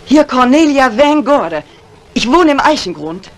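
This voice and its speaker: An actress playing a brisk, dry-witted, commanding mistress of the house in a 1950s German radio mystery. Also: female voice, sits high, big pitch swings, a crowd in the background. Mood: urgent, controlled. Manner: composed, commanding, wry. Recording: noisy old 1950s radio recording.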